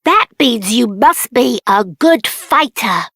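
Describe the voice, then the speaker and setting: A small, boastful video game gatekeeper, plucky and cocky. The high voice bounces playfully up and down and takes its words very slowly. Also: female voice, animated, gravelly, fairly bright tone, dry recording, clean studio sound, exaggerated voice. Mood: cocky, challenging. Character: plucky, boastful little gatekeeper challenging travellers to fight. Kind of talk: video game character acting.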